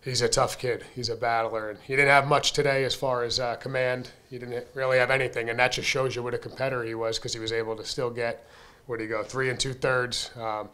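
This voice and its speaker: A man in his thirties speaks into a desk microphone at a postgame press conference. He answers as a baseball coach, candid and conversational, with plenty of "um" and "you know". His voice sits at an ordinary middle pitch and rises with energy on key points. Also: brisk, clean tone. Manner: candid, conversational, frequent fillers, energetic emphasis. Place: press room in front of a sponsor backdrop. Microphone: desk mic on a stand.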